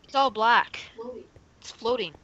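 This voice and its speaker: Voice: mid-range voice, a little slow. A gamer talking casually in character during a Minecraft roleplay. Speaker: player in a gaming video. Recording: muffled mic with faint noise.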